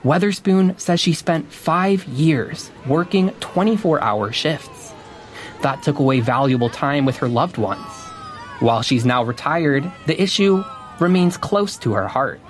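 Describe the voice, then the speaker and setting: A man reporting from the field in a measured, scripted news delivery. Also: on the high side, lively intonation, a little hiss or hum. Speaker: radio reporter. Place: on location outdoors at a protest.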